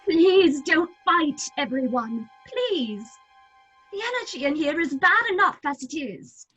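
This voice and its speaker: Timid young troupe actress for horror drama. Very high, meek, pleading voice, slightly muffled. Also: female voice, very animated, measured pace, sharp tone, soft background music, exaggerated voice. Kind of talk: horror audio drama acting.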